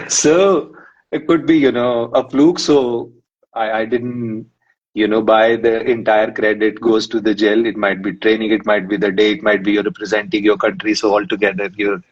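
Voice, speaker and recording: Man, lowish voice, rambling guest, muffled lo-fi stream audio. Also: level tone, normal speed, slightly rough. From India. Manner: rambling storyteller, many "you know" fillers. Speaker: guest, runner and psychologist. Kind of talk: live-stream interview.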